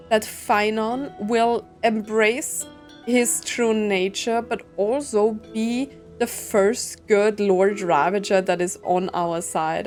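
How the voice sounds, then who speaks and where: A woman gives casual commentary on a story game, reading subtitles and puzzling over them aloud. She speaks at an unhurried pace in a mid-range voice, with soft game music behind her. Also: medium pitch. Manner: musing aloud, puzzled, questioning. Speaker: streamer reacting to a story game.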